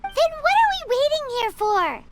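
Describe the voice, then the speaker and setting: A tiny floating fairy sidekick, with a piercingly high, chirpy voice that leaps wildly up and down. She sounds bubbly and eager, tossing every line out in a sing-song game-character way. The pitch swings are huge and constant. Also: sing-song, moderate pace, heavy background noise. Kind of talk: game voice acting.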